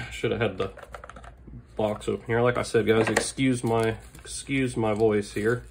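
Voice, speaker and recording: A hoarse man getting over a lost voice, hosting a knife channel with eager, casual show-and-tell and frequent throat clearing. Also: fairly low pitch, fairly even intonation, measured pace, background noise. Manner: enthusiastic, clears throat often, filler-heavy. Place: indoors at a desk. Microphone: overhead camera on table, hands only.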